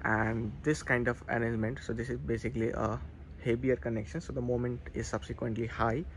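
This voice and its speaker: Clear background noise sits behind a man with a mid-pitched voice, recorded on a phone inside an unfinished steel building. He gives an instructional walkthrough like a tutor, in halting phrases. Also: little pitch movement. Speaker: civil engineering tutor. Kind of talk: hands-on explanatory walkthrough. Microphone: handheld phone camera.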